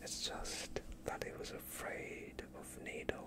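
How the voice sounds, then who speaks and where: A young man playing a polite vampire doctor in an ASMR roleplay, soothing and evasive with a sinister undertone, speaking in a hushed voice close to the mic. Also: lightly acted. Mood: calmly evasive. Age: young adult. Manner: hushed, slow, courteous, evasive. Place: quiet indoor studio, dark backdrop. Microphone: close off-screen microphone.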